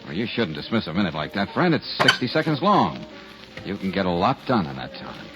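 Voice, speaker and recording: An actor playing a smooth, wry private detective working undercover in a 1940s radio drama. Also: male voice, sits low, very animated, fairly quick, over loud music. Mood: feigned politeness. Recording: dull old 1940s radio recording.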